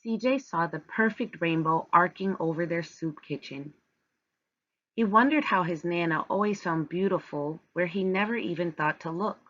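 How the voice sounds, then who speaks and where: A woman reading a children's picture book aloud in a measured, deliberate storytelling manner. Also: lowish voice, fairly bright tone, muffled recording. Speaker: storybook reader. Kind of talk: scripted children's read-aloud narration.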